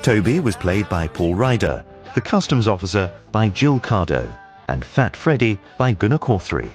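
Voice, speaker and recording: A man's voice: fairly low pitch, big pitch swings, moderate pace. A man reading scripted drama credits as a radio announcer. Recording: music bed under speech.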